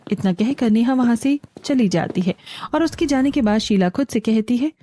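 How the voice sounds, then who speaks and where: Mellow woman narrating a family story. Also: on the high side, expressive, normal speed, slight background noise.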